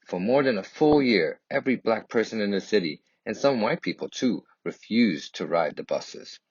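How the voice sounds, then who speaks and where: A man with a low, husky voice narrates a children's storybook. His delivery is earnest and deliberate, with dramatic phrasing. The recording is roomy and a little muffled. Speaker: reader of a children's picture book. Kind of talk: expressive scripted storybook narration in first person.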